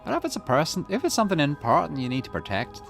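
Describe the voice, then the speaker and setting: A man's voice: a little high, wildly swooping pitch, fast, gravelly, soft background music, exaggerated voice. A man voicing a brash, loyal young woman from a video game, with a blunt, teasing manner. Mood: exasperated.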